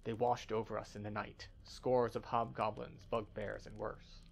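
A man voicing an earnest scholar from a fantasy game expedition, speaking in a formal, measured way. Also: on the low side, little pitch movement, gravelly. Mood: grave, pleading.

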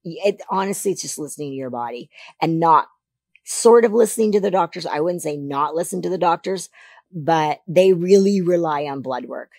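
A woman in her forties from Canada, a podcast guest, talking emphatically about health in a casual interview into a boom mic in a studio. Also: moderate pace, dry recording. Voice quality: deeper voice than she once had. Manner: emphatic, animated advice-giving. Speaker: podcast guest, figure competitor. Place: studio set on a sofa. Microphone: boom mic on a stand.

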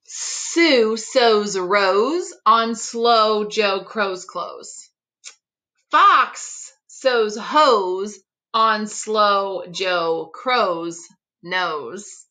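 A middle-aged woman reading a children's picture book aloud expressively, articulating tongue twisters with deliberate care. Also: mid-range voice, pushed and bright. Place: living room at home.

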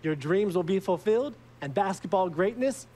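Boastful, intense recruiter, notably high voice, formal emphatic salesmanship for a sports game. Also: male voice, animated, moderate pace, warm tone. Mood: fervent, promising. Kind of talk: scripted game cutscene pitch.

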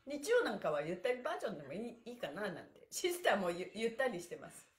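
A woman's voice: mid-range voice, very animated, fast. A middle-aged woman leading a livestreamed stretching class in a cheerful, chirpy, encouraging manner, chatting to her viewers. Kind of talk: upbeat exercise instruction, chatting to livestream viewers. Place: small indoor room with bare walls and wooden floor. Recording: echoey, roomy sound.

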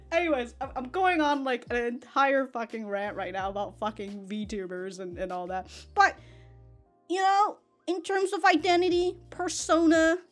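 A woman's voice: sits high, a little slow, soft background music. Swearing, rambling young woman reviewing anime. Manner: rambling, swears often, laughs between thoughts. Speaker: anime reaction host. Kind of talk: casual reaction commentary. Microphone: large studio mic in front.

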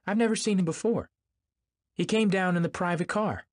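A man's voice: mid-range voice, animated, fairly quick, no echo, clean studio sound, voice pushed up, close to an everyday voice. A man voicing an indignant, sneering, pompous husband in an audiobook. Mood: dismissive, suspicious. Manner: pompous, incredulous, snapping. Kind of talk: audiobook character acting.

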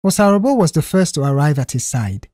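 A woman's voice: deep voice, very animated, brisk, mellow, dry recording, studio quality. A woman reading a scripted audio story as its narrator.

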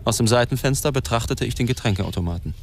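A man's voice: mid-range voice, fast talker, slight background noise, natural voice. An actor playing a flippant, evasive newspaper reporter and family man in a wry radio drama style. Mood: reflective narration. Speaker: actor, lead and narrator. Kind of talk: radio drama dialogue and first-person narration.

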